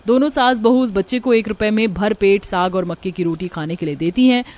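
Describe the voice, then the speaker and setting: A woman's voice: medium pitch, a little fast, a little mellow, slight background noise. A woman narrating a scripted cartoon family story.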